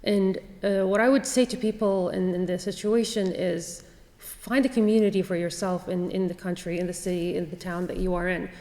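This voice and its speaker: A woman from Saudi Arabia answers from a university panel, her voice a touch low. She halts often, filling gaps with ums and restarting sentences. The hall gives her a long, reverberant tail. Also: steady tone, moderate pace, dark tone. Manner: hesitant, many fillers and restarts. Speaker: panel speaker.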